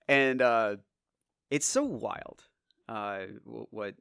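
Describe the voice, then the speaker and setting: A man in his forties hosting a podcast, slightly hoarse, chatting casually in a rambling, filler-heavy way and swearing offhand. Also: medium pitch, extremely slow, a bit bright, no echo, studio quality. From United States. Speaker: podcast host. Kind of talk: casual chat.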